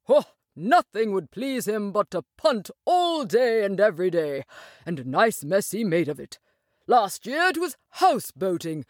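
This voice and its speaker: A woman's voice: medium pitch, no room sound, studio quality, pitched above the performer's own voice, lightly acted. Woman doing a chummy male water rat. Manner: brisk, chummy, gossiping. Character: cheerful sociable male water rat, chatty. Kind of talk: storybook voice acting.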